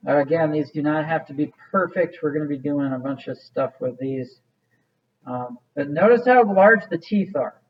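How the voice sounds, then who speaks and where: A man's voice: fairly high pitch, moderate pace. A man giving a casual, step-by-step clay modeling lesson with many fillers, recorded from some distance. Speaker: art teacher. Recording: muffled sound from a distant mic.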